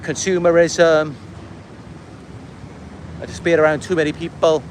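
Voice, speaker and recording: Higher-voiced Welsh man, rambling. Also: level tone, measured pace, a little hiss or hum. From Wales. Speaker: livestream host and content creator. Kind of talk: casual livestream monologue, answering viewer comments.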